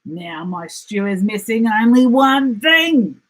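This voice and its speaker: A woman's voice: on the high side, big pitch swings, slow, pitched above the performer's own voice, exaggerated voice. A woman voicing a sly, boastful male dingo in a gleeful, exclamatory storybook manner. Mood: crafty, excited.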